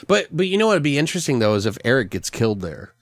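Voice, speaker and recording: A man's voice: medium pitch, sing-song, racing, no echo. A man hosting a podcast, casually brainstorming a story with plenty of swearing and rambling. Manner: foul-mouthed, rambling, acts out lines. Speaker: podcast host.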